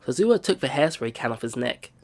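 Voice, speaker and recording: A man hosting a let's play, reading visual novel narration aloud in an expressive storytelling way. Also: medium pitch, fast, faint music behind.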